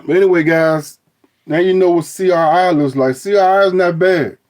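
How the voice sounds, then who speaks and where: A man's voice: high-pitched, normal speed, a little mellow. A middle-aged man talking through his DNA results on a phone, rambling and joking with frequent restarts. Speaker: vlogger. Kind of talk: casual review of DNA results.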